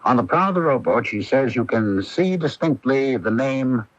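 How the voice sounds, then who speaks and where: A man's voice: medium pitch, lively intonation, moderate pace, lightly acted. An actor playing a genial, teasing small-town newspaperman with a breezy, folksy manner in a 1980s radio drama. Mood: breezy, amused. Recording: dull, lo-fi 1980s radio broadcast.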